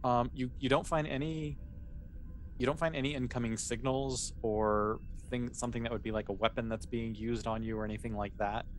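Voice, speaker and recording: A man running a tabletop sci-fi game as game master, improvising narration and explaining in an informal, halting way with self-corrections. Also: medium pitch, steady tone, soft background music. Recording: clearly noisy recording.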